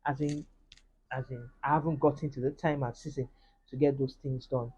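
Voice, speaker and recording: A woman's voice: a bass voice, fairly even intonation, normal speed, darkish tone, breathy edge, lo-fi sound. A woman with a Nigerian accent, earnestly sharing a personal faith testimony in a casual vlog and speaking straight to her viewers. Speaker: vlogger.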